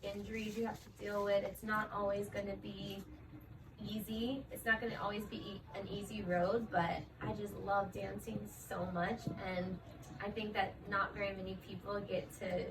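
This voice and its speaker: A chatty woman dancer answers interview questions easily and casually, leaning on "like" and "um" as she goes. She sits back from the microphone, so her voice sounds a little distant. A steady hum of room noise runs behind her. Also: medium pitch, normal speed. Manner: chatty, frequent fillers like "like" and "um".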